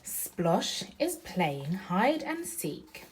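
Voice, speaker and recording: Female, motherly bedtime storyteller: very unhurried, animated intonation, child-directed questions and picture asides. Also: on the low side, a little hiss or hum. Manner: sing-song, slow emphatic reading of the story. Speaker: mother reading aloud to her young child. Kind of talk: bedtime picture-book read-aloud with chatty asides.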